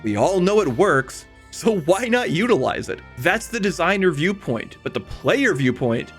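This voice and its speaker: A man's voice: high voice, sing-song, measured pace. A man narrating a scripted video essay in an emphatic, conversational way, speaking straight to the viewer. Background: background music.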